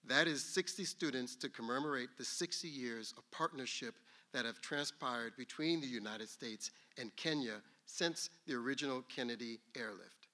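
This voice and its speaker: A man with a bright, forceful voice delivering a prepared official announcement. He speaks in a measured, formal way, like a government representative at a podium. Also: mid-range voice.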